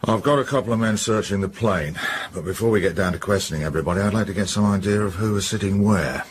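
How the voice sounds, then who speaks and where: An actor playing a brisk, sceptical English police inspector, businesslike and colloquial as he questions people in a radio drama. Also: low-pitched, slight background noise, lightly acted.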